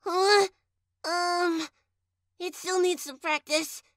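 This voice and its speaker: A woman voicing an eager young male goat monster in a comic dub, excitable and boyish. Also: very high voice, slow, no echo, studio quality, exaggerated voice. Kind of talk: amateur comic dub acting.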